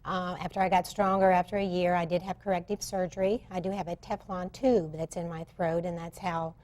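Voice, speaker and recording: Sixties woman guest, slightly raspy, lowish voice, plain and matter-of-fact. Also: steady tone, a little fast, a little mellow. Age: 60s. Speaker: guest, reigning senior pageant queen.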